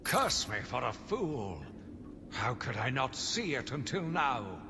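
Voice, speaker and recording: An actor playing a sinister, self-righteous madman, delivering a theatrical, deliberate villain's monologue for a video game. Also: mid-range voice, sing-song. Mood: revulsion, bitter. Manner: theatrical, deliberate, brooding delivery with dramatic emphasis. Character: sinister, zealous, self-righteous madman obsessed with punishing monsters. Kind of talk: dramatic scripted monologue, audio journal from a video game. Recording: long reverb, clearly noisy background ambience.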